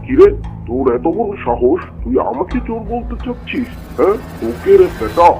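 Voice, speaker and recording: A man voicing a domineering tiger landlord in a Bengali cartoon, gravelly and blustering. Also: high-pitched, big pitch swings, normal speed, dark tone, heavily acted. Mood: threatening, angry. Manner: blustering, swooping, bossy. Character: bullying, domineering male tiger landlord of the jungle. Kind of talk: Bengali cartoon character dialogue. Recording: dull lo-fi audio with music behind.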